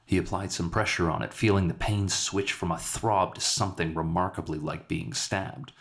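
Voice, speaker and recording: A man's voice: low-pitched, steady tone, moderate pace, a bit bright. A man reading a scripted dramatic short story aloud as its narrator. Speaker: author narrating his own story.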